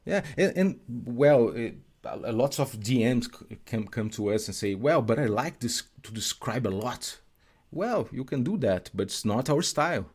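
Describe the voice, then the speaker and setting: Halting Brazilian man discussing game design. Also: mid-range voice, animated, a little mellow, breathy edge. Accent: Brazilian Portuguese. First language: Portuguese. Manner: halting, many restarts and fillers. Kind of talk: casual podcast conversation.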